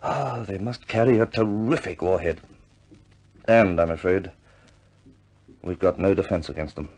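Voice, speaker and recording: An actor playing a grave, businesslike senior British air force officer, briefing in a deliberate, measured way in 1950s war film style. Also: male voice, deep voice, a little mellow, slightly rough.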